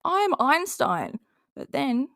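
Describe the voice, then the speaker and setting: A woman's voice: high voice, huge pitch swings, takes it slowly, vocal fry, fairly bright tone, no echo, studio quality. A woman with an Australian accent giving casual, sarcastic commentary and reading posts aloud in a blunt, mocking way. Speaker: commentary video host.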